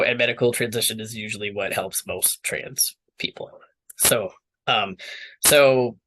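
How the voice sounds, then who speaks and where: A man's voice: fairly low pitch, bright, forceful tone, a little hiss or hum, rough recording. A young man speaking candidly as an interview guest, rambling with many fillers and restarts. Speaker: interview guest, water professional.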